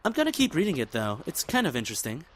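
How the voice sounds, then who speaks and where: A man playing a caring, earnest young survivor in a zombie apocalypse audio drama, mild-mouthed and gentle but stubborn. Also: fairly high pitch, huge pitch swings, fast, a little hiss or hum. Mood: hesitant, mild. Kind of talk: fan audio drama acting.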